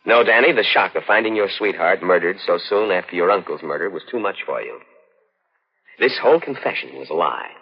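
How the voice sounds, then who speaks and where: An avuncular police investigator in a vintage radio mystery. His voice is deep and rough, talking quickly in a patronizing, folksy way. The sound is muffled. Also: animated. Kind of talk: 1940s radio suspense acting. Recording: muffled old radio broadcast.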